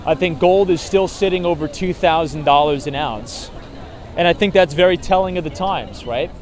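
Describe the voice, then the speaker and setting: Hoarse man chatting on a city walk. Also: fairly high pitch, moderate pace. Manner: chatty, filler-heavy, frequent "right?". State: hoarse, losing his voice. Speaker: vlogger talking markets on a city walk. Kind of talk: casual livestream chat, reading viewer comments. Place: outdoors on city streets and in a park. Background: traffic sounds.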